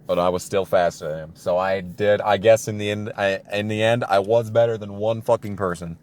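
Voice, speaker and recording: A man's voice: on the low side, fairly even intonation, brisk, darkish tone. A young man telling a casual personal story as an exasperated, self-deprecating rant with plenty of swearing. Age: young adult. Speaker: storytelling video creator.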